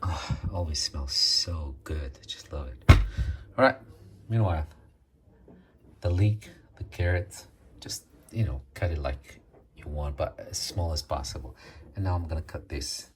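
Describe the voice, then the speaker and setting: Deep-voiced man cooking. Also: unhurried, pauses often, noisy background. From Morocco. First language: Amazigh. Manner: chatty, searches for English words with many ums. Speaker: cooking video host. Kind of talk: casual cooking demonstration.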